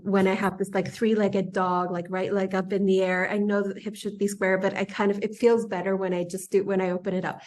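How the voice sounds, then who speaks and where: A woman in her thirties, a yoga teacher interviewing a friend, telling stories in a casual, animated way and often laughing. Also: mid-range voice. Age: 30s. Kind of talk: casual conversational storytelling.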